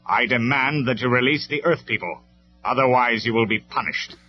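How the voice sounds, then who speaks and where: A man voicing a stern, commanding male robot leader who speaks loud and measured, on a dull lo-fi recording with faint music. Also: mid-range voice, voice pushed up, lightly acted.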